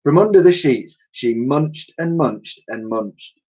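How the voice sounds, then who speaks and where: A man reading a children's story aloud in an animated, expressive storytelling style. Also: on the high side, takes it slowly, breathy edge, dull audio.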